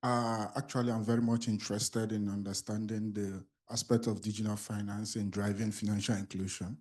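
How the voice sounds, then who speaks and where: A man's voice: fairly low pitch, little pitch movement, a little fast, darkish tone. A man from the audience asking a long, hesitant question at a conference, with many fillers. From Nigeria. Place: conference auditorium.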